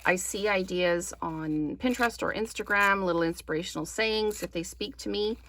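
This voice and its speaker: A woman hosting a craft tutorial, slightly hoarse, chatting in a casual, apologetic way and thinking aloud with frequent ums. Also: medium pitch, moderate pace, fairly bright tone. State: slightly hoarse, losing her voice. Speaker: crafting channel host.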